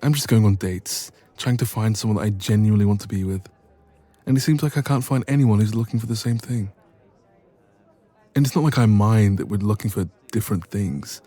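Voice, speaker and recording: A man's voice: lowish voice, brisk, mellow, lightly acted. A man playing a bashful, evasive best friend with a secret crush in an intimate romance audio roleplay. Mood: weary, rueful. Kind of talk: romance ASMR roleplay, intimate one-sided conversation.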